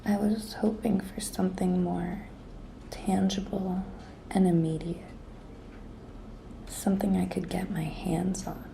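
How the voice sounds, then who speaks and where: Slow, whispery, flirty office intern played by a woman. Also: lowish voice, little pitch movement, dark tone, a little hiss or hum, heavily acted, poor audio. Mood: flirtatious, suggestive. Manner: breathy, coy, slow and deliberate, often dropping to a whisper. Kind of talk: whispered ASMR roleplay.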